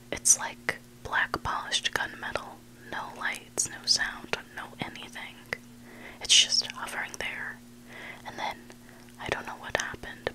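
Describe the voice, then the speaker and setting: Hushed whispering ASMR storyteller, sex indistinct. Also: rough recording. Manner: hushed, deliberate, pausing between short phrases. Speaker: ASMR host reading submitted online stories.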